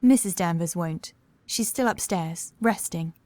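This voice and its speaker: A woman's voice: fairly low pitch, unhurried, darkish tone, no echo, lightly acted. A woman playing a polite, formal housekeeper in a gothic horror audiobook. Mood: politely composed. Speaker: voice actor in an audiobook. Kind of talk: scripted horror audiobook dialogue.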